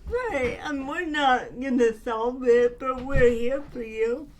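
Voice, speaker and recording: A woman co-hosting a podcast in casual chat, earnest and affectionate, her voice a bit raspy from talking. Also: high-pitched, darkish tone. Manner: slow, earnest and affectionate, with frequent fillers. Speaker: podcast co-host.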